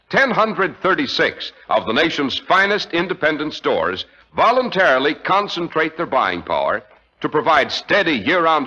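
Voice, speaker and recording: A man's voice: on the high side, sharp tone. A man announcing in a polished, emphatic, scripted style as host of a 1940s radio show. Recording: dull vintage 1940s radio recording.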